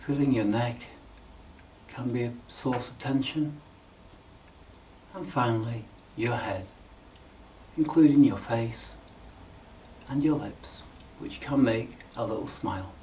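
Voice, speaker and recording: Slow-spoken man guiding meditation, distant mic. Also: mid-range voice, slight background noise. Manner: calm, measured, instructional, with frequent pauses. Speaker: guided meditation leader. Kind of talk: guided meditation.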